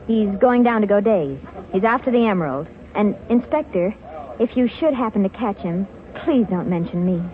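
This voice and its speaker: Actress as coy society woman, very lively pitch. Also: natural voice. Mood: brisk, confiding. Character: poised, coy, flattering young society woman, secretly scheming. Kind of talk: 1940s radio drama acting. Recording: muffled vintage radio recording, vehicle noise.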